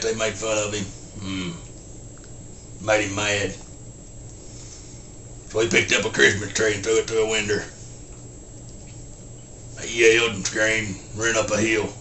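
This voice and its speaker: Halting rural Southern simpleton storyteller, forceful edge, monotone, grunting hums, film-parody style, faint background noise. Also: male voice, lowish voice, echoey room. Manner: halting, deadpan, grunts "hmm" between short sentences. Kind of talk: folksy storytelling, film character impression.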